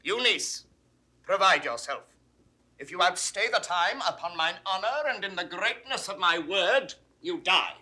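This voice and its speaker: Angry british duke in an old shakespeare radio play, bossy, sharp voice, big swings in pitch. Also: male voice, big pitch swings, moderate pace, heavily acted. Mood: stern, imperious. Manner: imperious, commanding, crisply theatrical. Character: angry tyrannical duke, stern older uncle. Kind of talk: 1960s Shakespearean audio drama acting.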